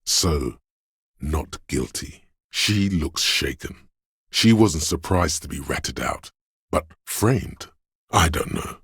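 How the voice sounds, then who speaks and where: The dark inner voice of raw nerves and fear, narrating a video game in the second person. The register is extremely low and unhurried, heavy with rasp and gravel. The delivery is ominous, brooding and deliberate, with a menacing, foul-mouthed edge. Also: male voice, animated, no echo, clean studio sound, exaggerated voice. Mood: ominous, wary. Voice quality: very deep, gravelly, husky.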